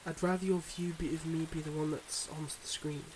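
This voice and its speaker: A man playing a devoted but guarded mob boss boyfriend in an intimate ASMR roleplay, speaking in earnest, measured confessions. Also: a little high, level tone, background noise, close to an everyday voice. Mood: solemn, regretful.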